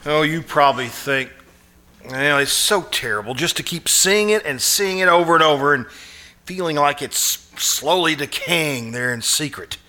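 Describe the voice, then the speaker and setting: A man's voice: on the high side, lively intonation, moderate pace, pushed and bright. An actor playing a desperate, sleepless, nervous man who hesitates and stammers in old-time radio suspense style. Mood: anxious, puzzled. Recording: live stage performance with music.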